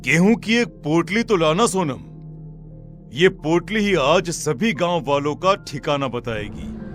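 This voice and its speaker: A man playing a brash, shrewd villager with emphatic, colloquial delivery in an animated moral story. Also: on the high side, takes it slowly, faint music behind. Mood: resolute.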